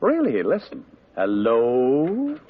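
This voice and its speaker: A man's voice: mid-range voice, huge pitch swings, takes it slowly, heavily acted. An actor playing a breezy, sardonic male private detective in a 1950s radio drama, tossing off wisecracks. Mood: wry. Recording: dull old radio broadcast.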